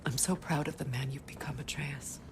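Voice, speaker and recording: A woman playing a wise, maternal warrior goddess in a video game, tender and touched by grief, her voice falling to a breathy whisper. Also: low-pitched, steady tone, fairly quick, background noise, close to an everyday voice. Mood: proud, tender. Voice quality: creaky, falls to a breathy whisper. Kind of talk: video game cutscene acting.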